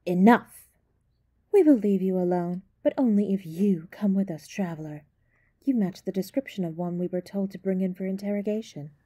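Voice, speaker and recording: A woman playing a stern, imperious High Elf archer in a fantasy roleplay, commanding and formal. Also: normal speed, mellow, husky, no echo, natural voice. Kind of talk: fantasy roleplay audio drama.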